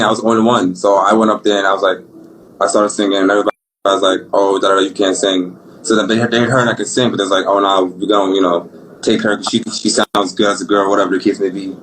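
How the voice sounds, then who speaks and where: A man's voice: on the low side, little pitch movement, quick pace, fairly bright tone, low-quality recording. Young man, Brooklyn accent, rambling slangy talk, faint hum behind. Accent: Brooklyn New York. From Brooklyn, New York. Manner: laid-back, rambling, slangy. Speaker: singer guest. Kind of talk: casual interview talk.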